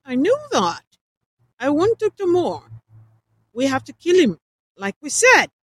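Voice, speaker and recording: A woman's voice: sits high, huge pitch swings, unhurried, a bit bright, no room sound, voice pushed up, heavily acted. Woman reading as an insistent, obsessive man.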